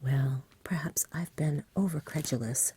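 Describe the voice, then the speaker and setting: A grave, good-natured saintly knight, whispered by a woman, speaking in formal storybook phrases. The voice is soft, very husky and mellow. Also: sits low, measured pace, exaggerated voice. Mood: conceding. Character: courteous, melancholy saintly knight with grandiose speech. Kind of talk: whispered ASMR storybook reading.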